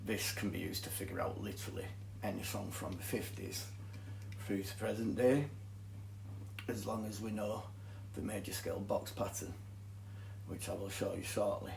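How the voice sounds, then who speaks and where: A man's voice: on the low side, steady tone, moderate pace, vocal fry, a little mellow, a little hiss or hum. A man with a thick Yorkshire accent, methodically explaining guitar scales and chords as a teacher. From Yorkshire, England. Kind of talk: guitar tutorial. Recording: echoey room with guitar playing. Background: guitar music.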